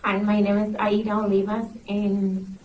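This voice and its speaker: Slow, flat-voiced Mexican-accented woman. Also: mid-range voice, a little hiss or hum, poor audio. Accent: Mexican Spanish. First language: Spanish. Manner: earnest, plain phrasing with non-native grammar. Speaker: migrant program recruiter, panelist. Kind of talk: panel discussion.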